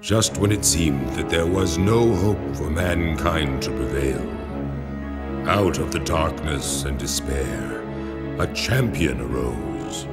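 Super deep slow grave narrator for a gothic horror game, music blasting under it. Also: male voice, exaggerated voice. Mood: grave, ominous. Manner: solemn, measured, dramatic. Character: grave, ominous male narrator of a dark gothic tale. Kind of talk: solemn scripted prologue narration.

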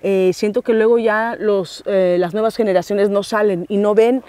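A woman's voice: mid-range voice, moderate pace, a little hiss or hum. Passionate Mexican woman talking outdoors. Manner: passionate, animated storytelling with many restarts. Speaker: guest actress. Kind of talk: casual interview conversation. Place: outdoors on a grassy hillside. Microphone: lapel mic.

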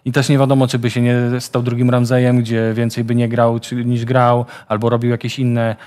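A man talking football as a studio pundit, steady and evaluative, in a TV studio. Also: medium pitch, moderate pace.

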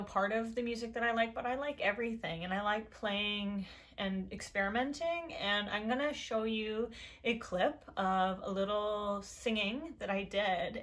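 Low-voiced young woman vlogging at home. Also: a bit bright. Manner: earnest, meandering, encouraging. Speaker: YouTube creator. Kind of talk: casual talk to camera. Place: decorated bedroom indoors.

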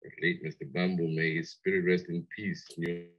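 An actor playing a polite, needling former chauffeur who dwells wistfully on old days in a 1960s radio drama. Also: male voice, sits low, flat delivery, normal speed. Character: nostalgic, ingratiating former chauffeur, loyal visitor. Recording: dull old 1960s radio play recording.

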